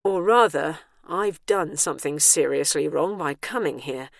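A woman's voice: low-pitched, a little slow, gravelly, no echo, full character voice. A stubborn older woman, acted by a female narrator, blunt and indignant in every word. She is the defiant heroine of a comic storybook, heard close and dry. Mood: worried. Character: grumpy, defiant older woman at a health spa.

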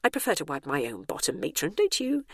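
A woman's voice: deep voice, very animated, fast, gravelly, husky, dry recording, studio quality, exaggerated voice. Bernice, a woman doing a fiery indignant heroine. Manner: loud, exasperated, sarcastic. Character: indignant sharp-tongued woman stuck in retirement complex. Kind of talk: audiobook character voice.

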